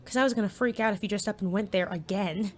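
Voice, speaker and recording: A woman playing a worried, laid-back high school friend in an audio drama, talking in a plain, dry, hesitant way. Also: fast talker, close to an everyday voice. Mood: concerned, dry. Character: cautious, worried teenage best friend, laid-back student. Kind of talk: casual conversational audio drama acting.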